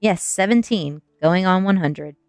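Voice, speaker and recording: A woman's voice: on the low side, measured pace, no echo, studio quality, soft background music, close to an everyday voice. A woman voicing a bony, creepy old European manservant in an audiobook, formal and courtly, with a thick accent. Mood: droll, courtly.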